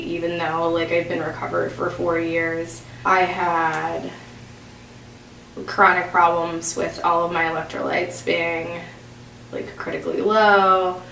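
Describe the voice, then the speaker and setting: A woman's voice: lowish voice, vocal fry, a bit bright, slight background noise. A woman in her thirties in a documentary interview, speaking matter-of-factly with frequent fillers. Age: 30s. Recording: echoey room, voice from a distance.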